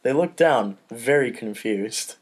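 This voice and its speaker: A man's voice: mid-range voice, expressive, takes it slowly. A man narrating stage directions at an amateur table read in a casual, informal way. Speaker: podcast cast member reading stage directions.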